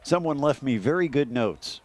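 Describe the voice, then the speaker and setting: A man's voice: medium pitch, animated, normal speed. A man with an American accent giving live baseball commentary and breaking down the plays.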